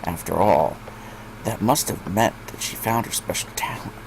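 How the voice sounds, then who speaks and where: A man's voice: lowish voice, normal speed, creaky, background noise. A man narrating fan fiction expressively, as a story reader.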